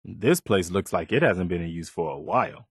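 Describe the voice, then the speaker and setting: A weary but idealistic militia officer in a wasteland game, lowish voice, earnest, really dry studio sound. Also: male voice, expressive, husky, no echo, full character voice. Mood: alert, focused. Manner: earnest, measured, conscientious. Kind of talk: video game character dialogue.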